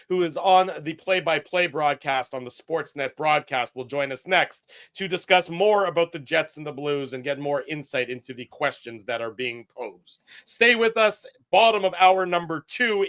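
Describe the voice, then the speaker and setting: Brisk male radio host, raised pitch. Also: bright, forceful tone. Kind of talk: radio show segment outro.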